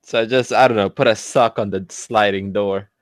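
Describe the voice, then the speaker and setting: A man's voice: on the low side, fairly even intonation, moderate pace, no echo, studio quality. A man playing a tabletop role-playing game, bantering casually in and out of character.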